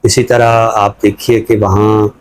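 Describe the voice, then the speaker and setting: A man with a Pakistani accent giving reflective, conversational commentary as a scholar, explaining things in a measured way. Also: lowish voice, little pitch movement, smooth. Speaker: scholar commentator on a talk show.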